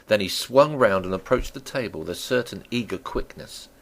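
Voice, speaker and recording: Low, very expressive male storyteller. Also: normal speed, poor audio. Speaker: audiobook narrator. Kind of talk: dramatic scripted narration.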